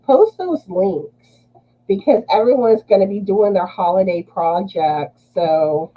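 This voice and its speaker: A woman's voice: mid-range voice, lively intonation, unhurried, mellow, slightly rough, rough recording. A woman with a Southern US accent hosting a casual livestream chat, laughing often as she greets viewers by name. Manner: laughs often while reading chat names. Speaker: livestream host.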